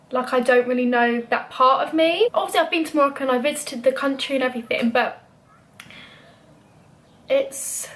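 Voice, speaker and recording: Chatty young British woman, slightly high. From Britain. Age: young adult. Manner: chatty, lots of "like" fillers. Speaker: vlogger. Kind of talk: casual chat.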